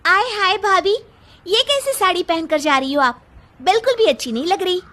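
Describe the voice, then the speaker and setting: Woman playing a squeaky, mocking young sister-in-law. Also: animated, moderate pace, a bit bright. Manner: teasing, sneering, exaggerated. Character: young mocking, giggling college-girl sister-in-law, rude and smug. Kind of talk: Hindi cartoon story dialogue.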